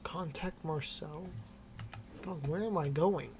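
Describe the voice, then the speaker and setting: A man's voice: fairly high pitch, takes it slowly. Casual, irreverent male game streamer, noisy background. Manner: slangy, irreverent. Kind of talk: casual gameplay commentary.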